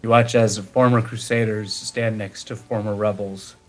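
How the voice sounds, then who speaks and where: A man's voice: on the low side, flat delivery, moderate pace, a little mellow. A man narrating scenes as game master of a live tabletop game. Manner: descriptive scene-setting narration.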